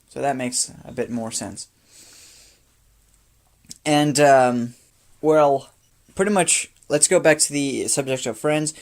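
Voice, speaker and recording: A teenage boy from Brazil, sixteen, speaks English as a second language with faint traces of a Brazilian Portuguese accent. His voice sits a little high for a man. He rambles off the cuff, tired, into a phone camera, repeating and restarting phrases. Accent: light Brazilian Portuguese. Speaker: vlogger. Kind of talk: off-the-cuff personal talk to camera.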